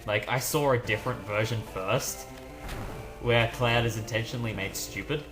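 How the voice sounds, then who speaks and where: A man chatting casually in a let's play, telling stories in an animated, rambling way. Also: medium pitch, moderate pace, poor audio. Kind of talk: casual gaming commentary chat. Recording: game music behind the voice. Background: video game music.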